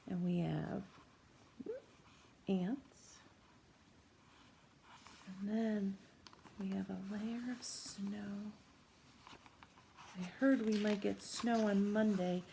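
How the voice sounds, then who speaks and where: Female children's read-aloud teacher, unhurried and gentle with a creaky edge, asks questions, light noise in a home room. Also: mid-range voice. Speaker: teacher reading a picture book to young children. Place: small room at home, indoors.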